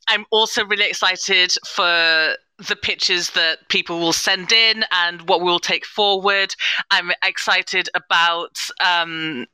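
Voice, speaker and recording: A woman's voice: lowish voice, fairly even intonation, takes it slowly, bright, forceful tone, smooth, dry recording, poor audio. A woman speaking enthusiastically and casually as a guest on a panel. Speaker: panellist, audio drama producer and sensitivity consultant.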